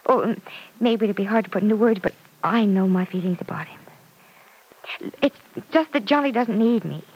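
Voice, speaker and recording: A woman playing an earnest, lovesick young daughter in an old-time radio soap opera, hesitant and husky, repeating her words. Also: medium pitch, normal speed, lo-fi sound, lightly acted. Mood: reflective. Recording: vintage radio recording.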